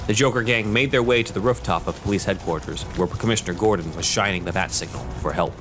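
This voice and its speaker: A man's voice: fairly low pitch, quick pace, background noise. A man narrating a scripted audio drama.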